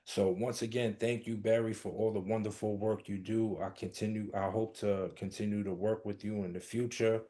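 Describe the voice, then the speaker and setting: A man's voice: lowish voice, little pitch movement, moderate pace, few pauses, dark tone. A man from Brooklyn with a Brooklyn accent, a podcast co-host who sounds enthusiastic and affirming. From Brooklyn, New York. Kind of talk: warm interview chat.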